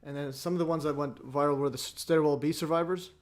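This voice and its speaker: A man with a fairly high voice hosts a loose online hangout. He rambles, restarts his sentences and laughs between points. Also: steady tone, fast. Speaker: host. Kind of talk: casual livestream chat.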